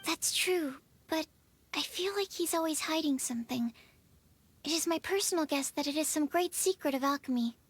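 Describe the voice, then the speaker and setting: Actress as young female game alchemist, high-pitched, thoughtful and inquisitive, slight background noise. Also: normal speed, close to an everyday voice. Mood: confiding. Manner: hesitant, thoughtful, polite. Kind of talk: video game cutscene acting.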